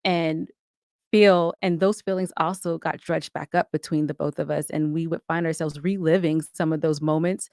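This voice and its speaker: A woman's voice: low-pitched, fairly even intonation, normal speed, darkish tone, no echo, clean studio sound. A woman giving reflective, articulate answers as a guest in a conversational podcast interview. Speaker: podcast guest, audio drama co-creator and writer.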